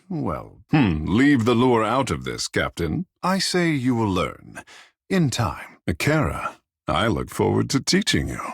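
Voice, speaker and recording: An actor playing a flamboyant, self-admiring godlike water shaper in a fantasy video game, speaking in a grandiose, playful, theatrical manner. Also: male voice, on the low side, breathy edge, no echo, clean studio sound, full character voice. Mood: proud, teasing, then playful, grand.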